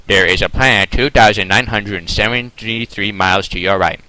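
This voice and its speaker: A man voicing a spaceship computer in a slow, flat, matter-of-fact way. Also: fairly low pitch, rough recording.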